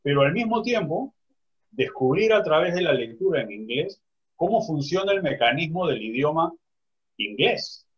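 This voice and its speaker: Husky man, thoughtful, on a lo-fi call. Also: a little high, sing-song, normal speed. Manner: reflective, hesitant, restarts phrases. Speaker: writer in a panel discussion. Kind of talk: casual discussion.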